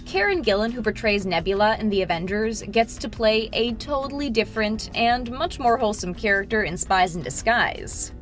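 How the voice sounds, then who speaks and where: A woman's voice: mid-range voice, normal speed, hardly any pauses, faint music behind. A woman reading scripted narration for an entertainment countdown video.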